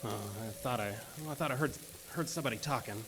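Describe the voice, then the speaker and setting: A man's voice: medium pitch, quick pace, fairly bright tone, close to an everyday voice. An actor playing a jittery, weary oil-field geologist in a horror audio drama, hesitant and repeating his words. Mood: uneasy, puzzled. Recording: noisy track with ambient sound.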